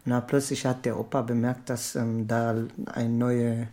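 A woman, not a German speaker, retelling a story haltingly as she searches for words. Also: very deep voice, level tone, warm tone.

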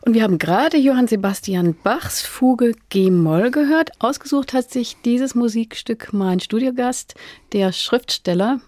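Female radio host for a studio interview: soft-edged warm timbre, articulate, composed delivery, very dry recording. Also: a little high, lively intonation, unhurried, dry recording. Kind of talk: prepared radio interview moderation. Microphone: close studio microphone.

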